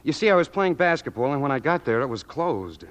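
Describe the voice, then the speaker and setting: A man's voice: mid-range voice, very animated, fairly quick, lightly acted. Earnest, boyish young suitor played by a man. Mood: sheepish, apologetic. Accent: American. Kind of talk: 1940s radio drama acting. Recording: old radio broadcast with music behind.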